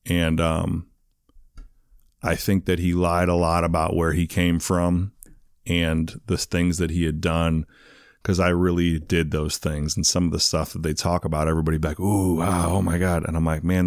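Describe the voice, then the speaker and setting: A man's voice: deep voice, monotone, moderate pace, no echo, studio quality. A man telling his life story as a guest in a casual interview, rambling with lots of fillers. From England. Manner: rambling, many fillers, "you know what I mean".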